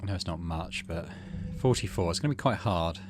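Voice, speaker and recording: A man's voice: low voice, fairly quick, background noise. A man hosting a game playthrough, reading story text aloud expressively and adding casual asides. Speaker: gaming video host.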